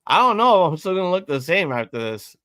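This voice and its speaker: A man in his thirties hosting a live-reaction stream, chatting casually and rambling with profanity and sudden loud excited outbursts. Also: high-pitched, a little fast, smooth, no room sound, studio quality. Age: 30s. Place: home room at a table.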